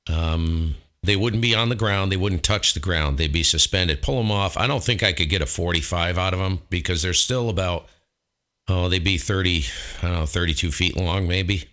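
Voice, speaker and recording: A man's voice: low voice, moderate pace, fairly bright tone. A man talking casually as an amateur radio operator, thinking aloud as he goes. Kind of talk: casual ham radio chat.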